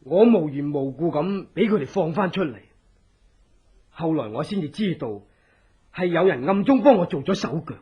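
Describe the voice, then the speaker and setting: An earnest rebel in a radio play, very raspy, swings up and down a lot, lo-fi. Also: male voice, on the high side, expressive, normal speed. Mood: grave, guarded. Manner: urgent, earnest, theatrical persuasion. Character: earnest, secretive revolutionary, escaped political prisoner. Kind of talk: Cantonese radio drama acting. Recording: dull, lo-fi old radio drama.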